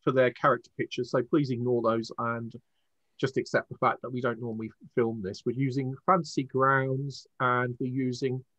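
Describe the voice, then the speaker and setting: A man's voice: medium pitch, fairly bright tone. A man with an English accent, casually explaining a tabletop roleplaying game as its game master.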